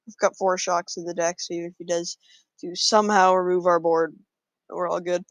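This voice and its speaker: A man's voice: high-pitched, level tone, a little fast, fairly bright tone, no echo. A man casually talking through his moves in a card game, thinking aloud with frequent fillers. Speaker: gameplay commentator.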